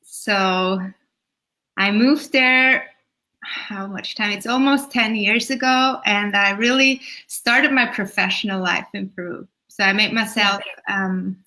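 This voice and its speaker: Woman, from Switzerland, Swiss German native, yoga teacher, casual interview chat, bright clear voice. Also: mid-range voice, steady tone, slow pace, rough recording. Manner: chatty, laughs between thoughts.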